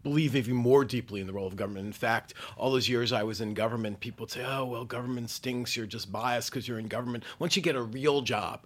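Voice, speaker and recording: A man with a husky voice talks fast and emphatically as a guest advocate on a talk show. His answers come quick and pointed. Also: medium pitch. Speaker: anti-hunger advocate guest. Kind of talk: interview answers.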